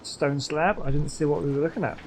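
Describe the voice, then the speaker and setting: A man's voice: fairly high pitch, fairly quick, a little hiss or hum. A man giving casual gameplay commentary, thinking aloud and muttering to himself as he plays. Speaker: gaming host. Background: video game sound.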